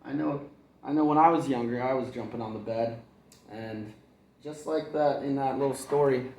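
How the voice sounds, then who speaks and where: A male kindergarten teacher reads a picture book aloud, wandering off into chatty asides and little chuckles. His pitch is mid-range for a man, and the sound bounces around a roomy, echoing space. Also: normal speed, darkish tone. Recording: echoey room.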